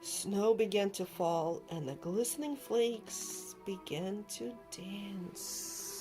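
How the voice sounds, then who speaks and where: A woman narrating a children's storybook aloud with expressive, dramatic emphasis, over music. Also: mid-range voice, slow pace. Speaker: storybook reader. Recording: music behind the voice.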